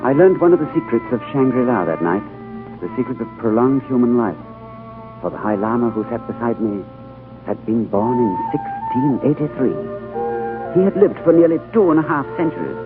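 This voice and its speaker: Earnest male traveler, played by an actor, mid-pitched, dramatic and literary, 1940s radio sound, thin and band-limited with music. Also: natural voice. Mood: wondering. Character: earnest, awestruck male traveler narrating his tale. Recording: tinny 1940s radio recording under music.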